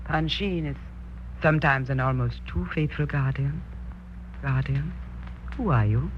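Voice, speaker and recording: An actress playing a young, guarded royal ruler with a formal, measured manner in a 1940s radio drama. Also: female voice, low voice. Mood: imperious. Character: imperious, guarded young Rani of a mysterious kingdom. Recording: dull, noisy old radio recording.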